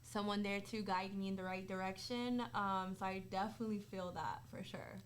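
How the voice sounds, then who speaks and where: A woman's voice: medium pitch, fairly even intonation, normal speed, a little hiss or hum. A woman in her twenties co-hosting a podcast, talking in an informal, chatty way. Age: 20s. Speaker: podcast co-host. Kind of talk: casual podcast chat.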